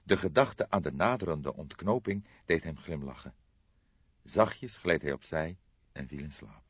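A man's voice: extremely low pitch, animated, a little slow, husky. A man reading a crime novel as an audiobook narrator, in scripted storytelling style. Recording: dull, roomy audiobook recording.

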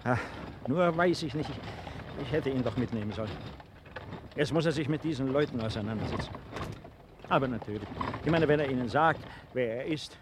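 A courteous, troubled landowning baron, played by a male actor in a vintage radio play. He speaks politely and hesitantly at a medium pitch, going back over his words. The old recording is clearly noisy. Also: a little fast, pauses often, close to an everyday voice. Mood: uneasy. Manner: hesitant, polite, repeats himself. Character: worried, courteous German baron, a fleeing landowner. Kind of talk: 1950s German radio drama acting.

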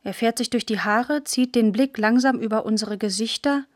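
A woman's voice: on the high side, normal speed, no room sound, clean studio sound, lightly acted. A woman narrating a literary radio play as a girl who remembers the war's end, observant and matter-of-fact, in short clipped sentences. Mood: sober, observant.

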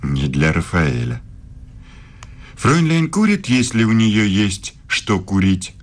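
An actor playing a courtly, self-assured middle-aged philosophy professor in a radio play. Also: male voice, lowish voice, big pitch swings, slow, full character voice. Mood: teasing, courtly.

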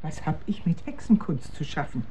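An actress playing a reluctant, wary midwife in a historical radio play, speaking in terse, guarded, archaic phrases. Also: female voice, low-pitched, expressive, moderate pace, warm tone, slight background noise. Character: wary, reluctant wise midwife of olden times.

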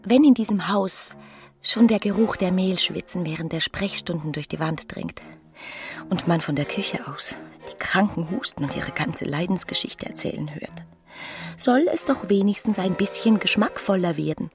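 An actress playing a young, fastidious newlywed wife in a German literary radio drama, coquettish and a little discontented. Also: female voice, on the low side, soft background music, natural voice. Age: young adult.

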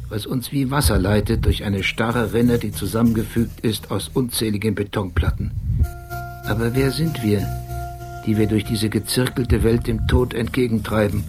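An actor playing an obsessive, brooding police detective who narrates a radio play in a deliberate, precise, philosophical manner. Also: male voice, on the low side, natural voice. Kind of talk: radio play acting and literary monologue. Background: music.

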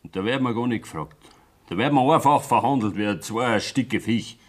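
An actor playing a stubborn, bitter young farm son with a Bavarian accent in a dialect radio drama, defiant and curt. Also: male voice, medium pitch, lively intonation, fairly quick, lightly acted. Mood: indignant.

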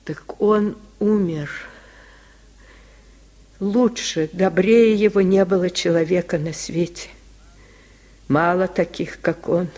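Man voicing a weak, sorrowful washerwoman, slow and high. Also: steady tone, darkish tone. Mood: grieving. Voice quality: weak, plaintive. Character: sick, weary, grieving poor washerwoman, gentle mother. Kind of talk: radio storybook reading.

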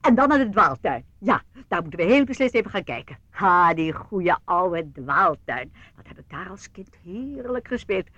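An actress playing an unflappable older aunt in a radio play, chatty and brisk, reassuring the young visitors she shows around. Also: lowish voice, very animated, fairly bright tone, dry recording, dull audio. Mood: fondly nostalgic. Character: aunt showing young visitors round her old estate, unflappable.

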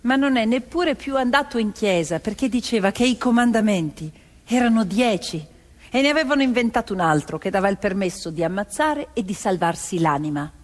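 An actress playing a peasant woman recounting family memories, with deliberate, expressive theatrical phrasing in an echoing space. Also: mid-range voice, close to an everyday voice. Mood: reflective. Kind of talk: theatrical storytelling over music. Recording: echoey hall with long reverb. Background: violin music.

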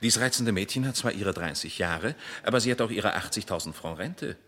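An actor playing a worldly, cynical gentleman in a radio drama, suave and patronizing. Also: fairly low pitch, lively intonation, fairly quick. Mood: cynical advising.

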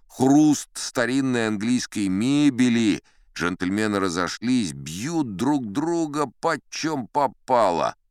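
A man's voice: on the low side, smooth, no echo, clean studio sound. A man narrating an audio drama as a boastful sea captain, telling his tale with irony and confiding asides to the listener. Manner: expressive storyteller, ironic, confiding asides. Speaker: narrator and lead actor. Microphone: close studio mic.

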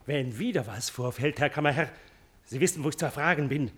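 Husky, sly hired killer. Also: male voice, fairly high pitch, brisk, fairly bright tone. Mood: sly, businesslike. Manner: sly, mock-deferential. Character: cold-blooded male hired assassin, sly and mercenary. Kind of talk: German classical stage drama acting.

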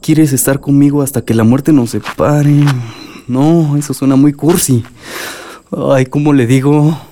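An actor playing a nervous, romantic suitor in a radio soap, earnest and hesitant, with a Mexican accent. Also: male voice, mid-range voice, steady tone, unhurried, warm tone, a little hiss or hum, lightly acted. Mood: nervous rehearsing. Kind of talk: radio soap opera acting.

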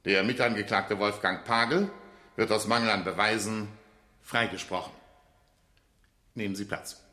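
A man's voice: lowish voice, normal speed, bright, forceful tone. A man playing a stern, formal judge in a radio drama, declaiming a verdict in official language. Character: stern formal male judge pronouncing sentence. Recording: roomy, echoing courtroom-like acoustic.

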